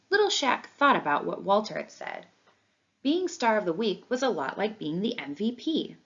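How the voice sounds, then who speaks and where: A woman's voice: mid-range voice, a bit bright. Woman reading a children's storybook, muffled recording. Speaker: read-along reader. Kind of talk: expressive children's storybook read-aloud. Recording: dull, lo-fi sound.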